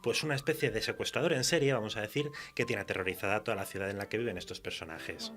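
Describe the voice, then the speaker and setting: A man with an accent from Spain speaking as a guest author in a conversational radio interview, halting as he thinks aloud. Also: fairly low pitch, fairly bright tone, a little hiss or hum.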